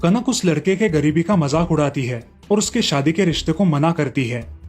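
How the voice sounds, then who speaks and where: A man narrating a moral story for a cartoon in a steady storytelling manner. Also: on the high side, normal speed, soft background music.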